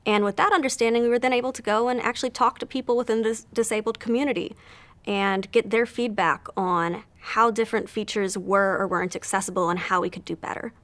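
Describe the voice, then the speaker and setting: A woman game producer explaining her work in an interview in a clear, organized way. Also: medium pitch, steady tone, fast, fairly bright tone. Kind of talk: interview answers.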